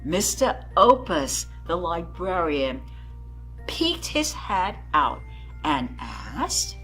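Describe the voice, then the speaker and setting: A woman reading a children's story aloud in expressive narration with deliberate phrasing. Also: on the low side, faint music behind, rough recording.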